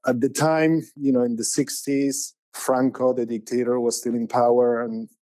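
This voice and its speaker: An older man in his sixties speaks with a Spanish accent at an ordinary middle pitch. He rambles through his answers, full of pauses and restarts. The recording is dry and close, with no room sound. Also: level tone, dark tone, dry recording. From Spain. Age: 60s. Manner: rambling, many fillers and restarts. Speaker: painter, podcast guest. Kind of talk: casual interview.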